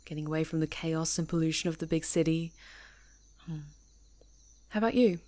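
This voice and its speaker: A woman's voice: low voice, moderate pace, dark tone, natural voice. Woman playing a friendly, teasing camper. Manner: easygoing, teasing, conversational. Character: friendly, chatty woman camper on a woodland retreat. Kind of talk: ASMR roleplay, addressing an unheard listener.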